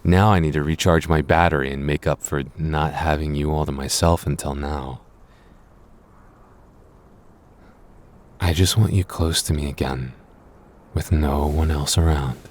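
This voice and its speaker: A man playing a possessive, teasing boyfriend in an intimate audio roleplay, speaking softly and tenderly. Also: a bass voice, fairly even intonation, normal speed, voice pushed down.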